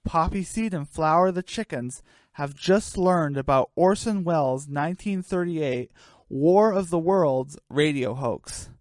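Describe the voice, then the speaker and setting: A woman's voice: low voice, unhurried, darkish tone, no echo. A woman narrating a scripted comic radio play in a storytelling style.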